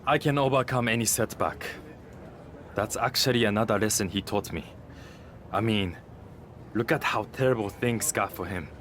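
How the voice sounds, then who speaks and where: An actor as a low-voiced, proud masked wrestler. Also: male voice, normal speed, pauses often, fairly bright tone, slight background noise, close to an everyday voice. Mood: confident. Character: proud, confident masked luchador, blunt social-media star. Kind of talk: scripted video game cutscene acting.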